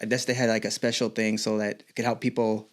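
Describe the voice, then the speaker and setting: A man's voice: fairly low pitch, flat delivery, fast. A man in his fifties with a Los Angeles accent, chatting casually as a podcast guest in a loose, informal, self-joking way. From El Sereno, Los Angeles. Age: 50s. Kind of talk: casual chat. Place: decorated home garage.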